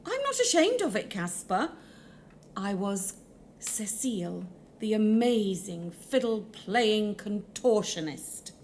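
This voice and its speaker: Grand, self-important ghost diva played by a woman, very lively pitch, unhurried, noisy recording. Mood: indignant. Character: vain theatrical ghost, a former opera singer. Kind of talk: audio drama acting. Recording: noticeable background noise.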